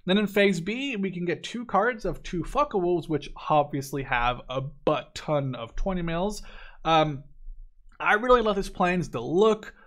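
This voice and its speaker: Man in his twenties, slightly high voice, gaming YouTuber, casual commentary, blunt opinions, informal wording. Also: measured pace, fairly bright tone. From Netherlands. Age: 20s. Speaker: gaming YouTuber reviewing a game.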